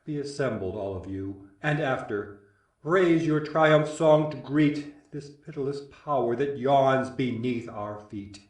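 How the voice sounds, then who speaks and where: A solemn widowed king played by a man declaims classical verse at a slow, stately pace. He sounds commanding and bereaved, a little way from the microphone in an echoing room. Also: medium pitch, natural voice. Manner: declamatory, formal. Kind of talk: classical verse drama reading. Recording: roomy echo, distant microphone.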